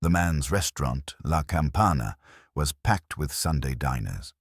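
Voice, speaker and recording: A man's voice: extremely low pitch, lively intonation, unhurried, slightly rough, no echo, studio quality. A man narrating a scripted first-person detective story in a wry, hard-boiled way.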